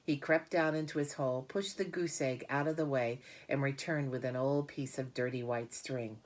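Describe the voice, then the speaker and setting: A woman's voice: rumbling low, fairly even intonation, normal speed. A woman reading a children's storybook aloud as narrator. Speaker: storybook reader. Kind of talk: children's book read-aloud.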